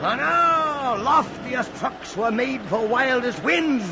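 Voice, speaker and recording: An actor playing a crazed, defiant old whaling captain in an old radio drama, ranting in grandiose archaic speech with a gravelly voice. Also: male voice, extremely high pitch, lively intonation, takes it slowly, a crowd in the background, exaggerated voice. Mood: scornful fury. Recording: muffled, noisy old radio recording.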